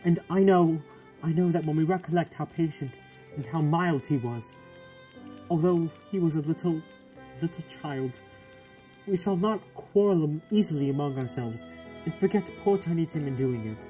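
A man plays a tender Victorian father in a radio play. His voice is fairly high and mellow, earnest and full of gentle grief. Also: normal speed, soft background music, close to an everyday voice, rough recording. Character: gentle grieving father of a poor Victorian family. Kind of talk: radio drama acting.

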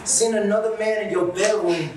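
A man's voice: high voice, fairly even intonation, normal speed, natural voice. A man acting a pompous, possessive professor and jealous lover in a stage play. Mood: smugly possessive. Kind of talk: theatrical stage play dialogue. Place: indoor theatre stage, recorded from afar. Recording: echoey stage, distant mic, audience noise.

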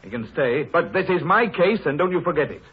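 Gruff, husky police inspector. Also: male voice, fairly high pitch, expressive, brisk. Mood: gruff, indignant. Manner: blunt, colloquial. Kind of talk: 1930s radio acting. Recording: thin, phone-like 1930s radio broadcast.

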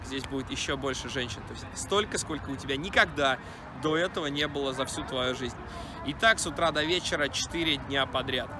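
A man in his thirties, a dating coach, pitching his training straight to camera in a brisk, persuasive, slangy way, filmed close up in an outdoor park. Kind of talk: direct-to-camera sales pitch. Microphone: camera at close range. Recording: outdoor street noise behind.

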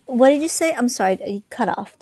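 A woman in her thirties hosting a casual livestream, chatting in a rambling, animated way and repeating herself for emphasis. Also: a little high, brisk, husky. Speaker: podcast host. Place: small room full of collectibles, indoors. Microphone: close desk microphone.

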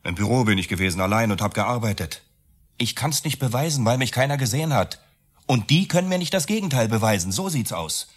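A man's voice: mid-range voice, animated, brisk, slightly rough. An actor playing a bitter, cornered, sarcastic man accused of murder in a radio drama. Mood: defensive, bitter. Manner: sarcastic, accusatory, rhetorical questions. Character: Max, accused architect, bitter, volatile, cornered lover. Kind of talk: German radio drama acting.

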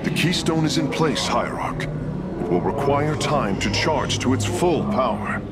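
An actor playing a dutiful alien engineer in a video game, formal, precise and earnest. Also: male voice, medium pitch, animated, unhurried, lightly acted. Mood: dutiful, concerned. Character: earnest, dutiful male alien engineer reporting to his leader. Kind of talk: dramatic video game voice acting. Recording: voice under very loud game music.

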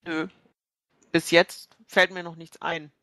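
A woman's voice: fairly low pitch, little pitch movement, takes it slowly, sharp tone, no room sound. A woman playing a tabletop role-playing game, chatting casually in a blunt, informal way.

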